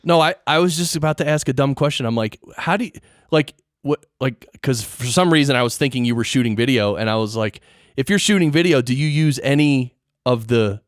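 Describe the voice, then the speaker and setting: A man hosting a casual interview, animated and curious, often restarting his sentences. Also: medium pitch, a little fast, no echo, studio quality. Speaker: podcast host and interviewer.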